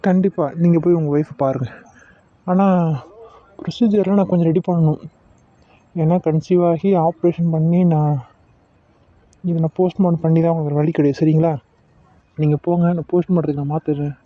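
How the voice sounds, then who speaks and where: A man voicing a corrupt male doctor who speaks in a slow, low and intimidating way. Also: steady tone, no room sound, narrow, tinny audio, close to an everyday voice. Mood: curt. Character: menacing corrupt male doctor, cold and threatening.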